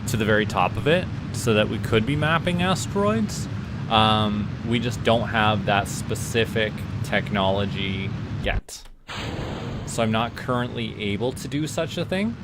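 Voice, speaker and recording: A man's voice: on the low side, lively intonation, a little slow, heavy background noise. A man with a Canadian accent giving casual gameplay commentary as a livestream host to a live chat. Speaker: livestream host playing a space simulation game. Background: music.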